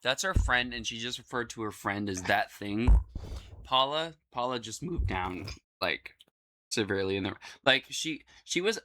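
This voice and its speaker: A man in a casual reaction chat, talking in a halting way and repeating himself. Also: mid-range voice, lively intonation, bright, forceful tone. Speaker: guest reactor.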